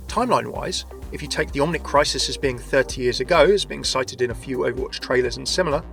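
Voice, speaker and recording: A man's voice: on the high side, gravelly, a bit bright. A man hosting a scripted game lore video, chatty and often addressing his viewers directly.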